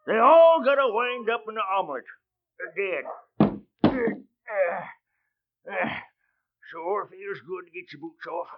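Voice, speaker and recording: A muffled old radio recording carries a crusty old mule driver from the American West. His voice is very high for a man, with a homespun, garrulous drawl and a rural Western accent. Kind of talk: 1940s-50s radio Western acting.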